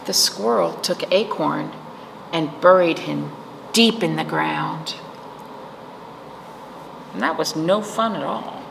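Middle aged lady doing kids storytime, unhurried n expressive, bit of creak in her voice, echoey room w faint noise. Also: deep voice. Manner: animated, acting out the story with asides to listeners. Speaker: children's librarian and storytime host. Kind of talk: expressive read-aloud storytelling for young children. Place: indoor library storytime room.

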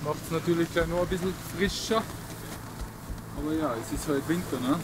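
A man's voice: on the high side, moderate pace, background noise. A man, a skydiver, chatting casually and easily outdoors. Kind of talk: casual chat.

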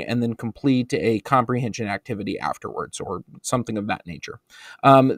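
A man with an American accent narrating a screen-recorded software tutorial for teachers, relaxed and explanatory, with frequent ums and uhs. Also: medium pitch, dry recording. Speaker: instructional technology trainer.

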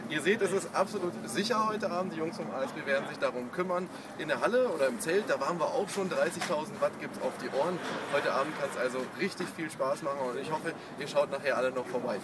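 A man in his thirties presenting an on-location promotional report in a chatty, upbeat announcing manner. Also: a little high. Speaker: radio presenter reporting on site. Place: event site, outdoors and inside big tent. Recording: loud ambient noise, on-camera location sound.

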